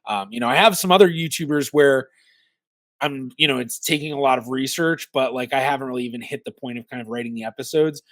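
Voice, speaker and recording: A man's voice: mid-range voice, fast talker, fairly bright tone, dry recording. A man hosting a genealogy channel, narrating and chatting in loose, self-correcting asides. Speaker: genealogist YouTube host. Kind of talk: reaction commentary and narration.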